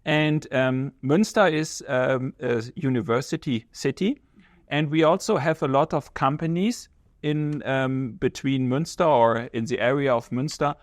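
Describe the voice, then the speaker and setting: A German-accented man answers interview questions in careful, measured English and pauses now and then to find the right word. His voice sits at an everyday middle pitch. The sound is dry and close, with no room echo. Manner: measured, explanatory, searching for words. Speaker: visiting professor.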